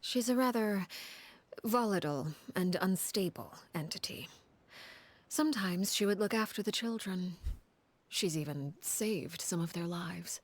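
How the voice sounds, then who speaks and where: An actress playing a cold, composed woman who leads an orphanage in a video game, speaking softly and breathily in a measured, ominous way. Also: lowish voice, lively intonation, dry recording. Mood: calm, grave. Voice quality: soft, breathy, husky. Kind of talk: scripted video game voice acting.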